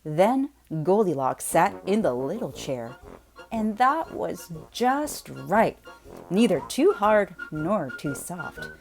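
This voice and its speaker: A woman reading a children's picture book aloud in a playful, expressive way, drawing out words for emphasis. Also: medium pitch, slow pace, soft background music, low-quality recording. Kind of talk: animated children's read-aloud narration.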